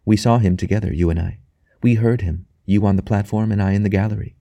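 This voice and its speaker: Man reading as a soft, rapt young wife. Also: lowish voice, fairly even intonation, brisk, no echo. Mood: earnest wonder. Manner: soft, quiet, reverent. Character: devout, tender young wife, quietly rapt. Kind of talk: audiobook character dialogue.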